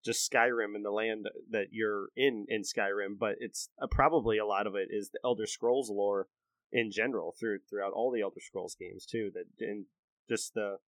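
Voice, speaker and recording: Male podcast co-host, lowish voice, dry sound, halting thoughtful chat. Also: fairly even intonation, fairly bright tone, no room sound, poor audio. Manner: halting, searching for words. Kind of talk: casual chat.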